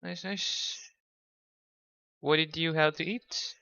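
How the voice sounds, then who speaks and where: Man, higher-pitched voice, unhurried pace, casual game stream chat, half-distracted. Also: fairly even intonation, sharp tone, clean tone, no room sound. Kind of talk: casual livestream chat while gaming. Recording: dull, lo-fi microphone sound.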